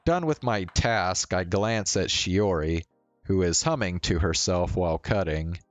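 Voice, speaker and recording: A man reading a visual novel aloud as narrator, in a measured way. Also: low-pitched, animated. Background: faint music.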